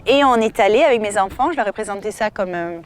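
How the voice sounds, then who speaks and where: A woman from southern France, a novelist, telling stories in an interview with lively fillers and restarts. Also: medium pitch, fast talker, slight background noise. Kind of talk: casual interview answers. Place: outdoor festival.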